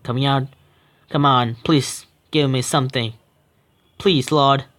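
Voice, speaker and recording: A man's voice: mid-range voice, steady tone, measured pace, fairly bright tone. A young man streaming a horror visual novel, reading the text aloud with stumbles and breaking off into swearing, joking asides. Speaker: game streamer.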